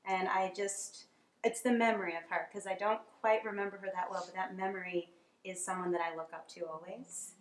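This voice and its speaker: A woman's voice: mid-range voice, fairly even intonation, fairly quick, a little hiss or hum. Woman with a New York accent, from Staten Island, hesitant, echoey room. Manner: laughing, hesitant, many ums. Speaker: workshop participant. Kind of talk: casual personal sharing.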